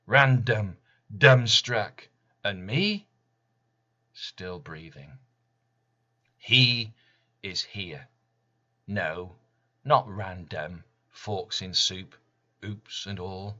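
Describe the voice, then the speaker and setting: Slow, low-pitched man reciting poetry dramatically. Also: pushed and bright, lo-fi sound. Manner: deliberate, theatrical, intense delivery with weighty pauses. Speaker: poet reading own work.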